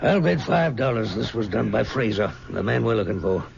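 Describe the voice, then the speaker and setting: An actor playing a matter-of-fact deputy in a 1970s radio drama, with a gravelly voice. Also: male voice, on the low side, animated, a little fast. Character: gruff deputy investigating a graveyard murder. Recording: dull, noisy old radio recording.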